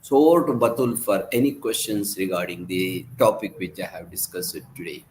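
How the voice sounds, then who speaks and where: A man giving an online medical lecture, hoarse from laryngitis, halting with many fillers and restarts. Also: deep voice, rough recording. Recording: faint background noise.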